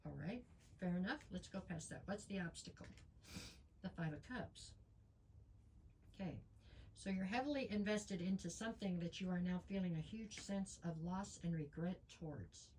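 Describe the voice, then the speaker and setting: A woman's voice: on the low side, normal speed, a little mellow, a little hiss or hum. A woman reading tarot cards in a chatty way, losing her voice and with a stuffy nose. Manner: chatty, frequent ums, talks straight to viewers. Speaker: tarot reader.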